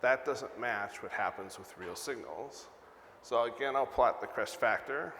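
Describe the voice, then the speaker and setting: A man's voice: medium pitch, unhurried, fairly bright tone. An older man giving a technical conference lecture in an explanatory way, repeating points for emphasis, speaking on stage into a lapel mic. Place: large conference hall stage, indoors. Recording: echoey hall PA, some background noise.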